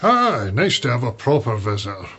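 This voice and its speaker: An actor with a Scottish accent playing a chatty, grateful, housebound older father with a husky voice in a radio drama. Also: medium pitch, huge pitch swings, moderate pace, a bit bright, slight background noise. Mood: pleased. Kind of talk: naturalistic radio drama acting. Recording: muffled, dull sound.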